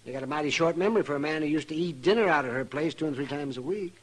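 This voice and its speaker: An actor playing a crusty, excitable older frontier doctor in a radio western, folksy and needling. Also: male voice, on the high side, rapid-fire, close to an everyday voice. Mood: exasperated. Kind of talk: 1950s radio western acting.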